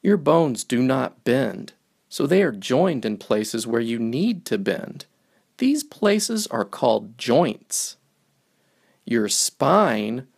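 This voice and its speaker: A man narrating a picture book for young children, reading it aloud in simple, deliberate phrases. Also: medium pitch, vocal fry, breathy edge.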